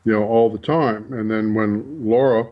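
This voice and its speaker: Man with a low, dull, distant sound. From Europe, raised in Canada and the US. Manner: measured, with "you know" fillers. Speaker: cookbook author, guest. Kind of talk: interview answers.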